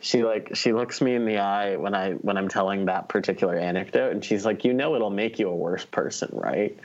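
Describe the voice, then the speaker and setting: A man's voice: fairly low pitch, brisk, highs cut off. A man chatting casually as a podcast co-host, telling personal anecdotes in a wry, self-deprecating way.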